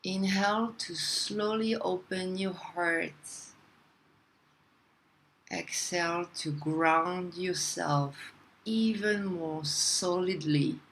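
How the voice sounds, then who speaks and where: Woman, guided meditation, extremely unhurried, soothing, long pauses, slightly low pitch, ambient background noise. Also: fairly bright tone, low-quality recording.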